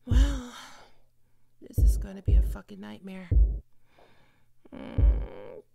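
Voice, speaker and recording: A woman's voice: medium pitch, very animated, slow pace, creaky, dull audio, over music, heavily acted. A woman playing a prickly, flustered young vampire cat-girl in an audio roleplay, sarcastic and defensive. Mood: muttering, stressed. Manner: sarcastic, defensive, sighing asides. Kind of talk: ASMR roleplay monologue.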